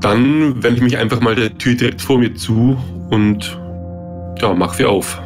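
A man's voice: medium pitch, fairly even intonation, a little slow, music in the background. A man chatting casually as a player in a tabletop role-playing game.

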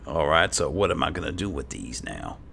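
A man giving casual, in-character commentary on a game playthrough, unhurried and musing to himself. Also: rumbling low, sing-song, vocal fry, bright, forceful tone, soft background music. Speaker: gaming host, role-playing as his character.

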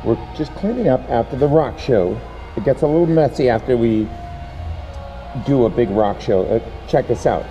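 A middle-aged brewery worker jokes loudly with mock pride, his voice mellow and dark. He is picked up from far off in a long-echoing hall, and the sound comes through dull, with faint music behind. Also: medium pitch, animated, normal speed. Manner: mock-proud, loud. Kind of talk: mock-serious work banter.

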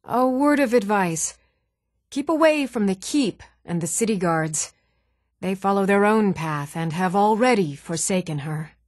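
A woman's voice: mid-range voice, lively intonation, a little slow, no echo, clean studio sound, lightly acted. A woman playing a devout, fervent priestess in a fantasy video game, earnest and pious. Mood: grave, earnest. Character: devout, fervent priestess preaching a goddess's warmth.

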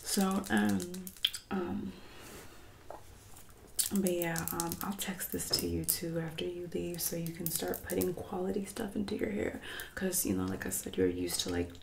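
Creaky, soft-spoken young woman, condescending ASMR. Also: fairly low pitch, a little hiss or hum. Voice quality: soft-spoken, often slipping into whisper. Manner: condescending, passive-aggressive, drawn-out backhanded remarks. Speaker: ASMR roleplay creator. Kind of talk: ASMR roleplay as a mean friend and hairdresser.

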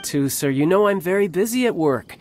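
An actor playing a cocky, playful young warrior lord and older brother in a video game, speaking in a smooth, teasing, confident way. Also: male voice, high voice, expressive, fairly quick, slight background noise. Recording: game soundtrack under the voice. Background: game music.

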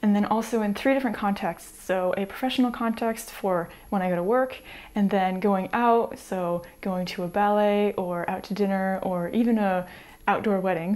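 An American woman in her thirties, chatting to camera in a small home room on a lapel mic, light and easygoing, with soft laughs between thoughts. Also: medium pitch. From United States. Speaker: sewing vlogger. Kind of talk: casual chat to camera.

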